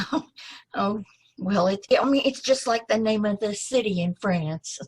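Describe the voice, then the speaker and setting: A woman acting a timid, polite young woman in an old-time radio play, speaking hesitantly and apologetically in a breathy, husky voice. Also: mid-range voice, measured pace, darkish tone. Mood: nervous. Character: timid, polite young woman seeking her lost brother.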